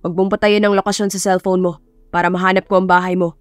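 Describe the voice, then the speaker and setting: A woman's voice: on the low side, level tone, quick pace, smooth, dry recording, soft background music, lightly acted. Woman playing a curt male professor. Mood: questioning, bossy. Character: stern, reserved male professor, quietly caring.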